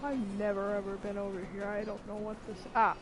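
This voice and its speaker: A woman streamer talks casually through her gameplay in an everyday medium-pitched voice. Clear game music plays behind her as she comments live. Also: completely flat delivery, fast talker. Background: video game music.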